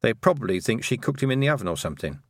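A man voicing a shrewd, brisk witch woman who teaches, with a dry, knowing manner, in an audiobook. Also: medium pitch, big pitch swings, no room sound, voice pushed up. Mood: sardonic. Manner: dry, knowing, clipped questions. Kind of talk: audiobook character dialogue.

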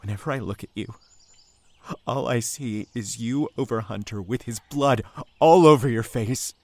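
An actor playing a grieving, shaken young man in an audio drama, hurt and guarded, stammering haltingly through his words. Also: medium pitch, no echo, natural voice. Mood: hurt, bitter.

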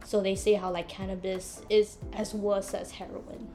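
A woman's voice: medium pitch, flat delivery, moderate pace, mellow. Woman podcast host explaining casually over music.

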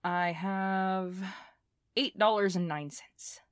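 A woman playing a scrappy, intense inventor recording a personal log in an audio drama, talking in a halting, volatile way. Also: mid-range voice, no room sound, studio quality, close to an everyday voice. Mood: frustrated, wry. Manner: swearing, halting, swinging from muttering to fervent.

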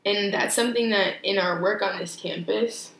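A young woman co-hosting a casual podcast, talking thoughtfully and measured, often pausing to rephrase. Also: mid-range voice, fairly bright tone. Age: young adult. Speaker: podcast co-host. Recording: echoey room with faint noise.

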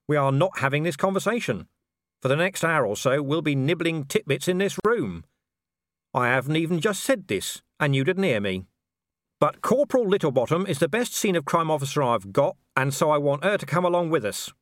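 A shrewd, wry male commander in a storybook, voiced by a man. He talks smoothly and insistently, with dry sarcasm, expressive pitch movement and a close, dry sound. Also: fairly quick, no echo.

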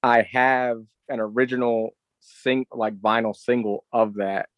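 Medium-pitched American man, dry sound. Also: fairly even intonation, a little slow, no room sound. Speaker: podcast co-host. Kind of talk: casual chat.

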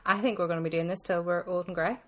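A woman's voice: lowish voice, fairly even intonation, fast talker, a bit bright, slight background noise. A woman in her thirties with a Northern Irish accent, co-hosting a podcast in a casual, teasing, bantering way. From Northern Ireland. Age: 30s. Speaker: podcast co-host. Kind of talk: casual chat.

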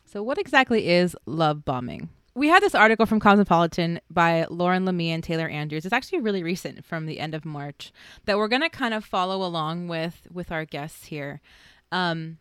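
A woman's voice: lowish voice, lively intonation, a little fast, fairly bright tone, clear, resonant tone, no echo, studio quality. A woman hosting a podcast, talking in a chatty, casual way with fillers. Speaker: podcast host.

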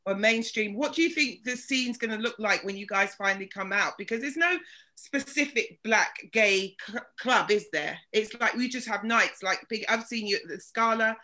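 Woman, host, bright clear tone, chatty, fillers, video call. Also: fairly high pitch, moderate pace. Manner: chatty, loose with many fillers. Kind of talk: casual chat.

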